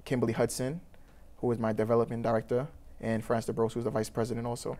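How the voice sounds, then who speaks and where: A man's voice: mid-range voice, little pitch movement, fast talker, dark tone. A young man from Trinidad with a Trinidadian accent, answering interview questions in an earnest, rambling way full of fillers and restarts. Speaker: youth program founder.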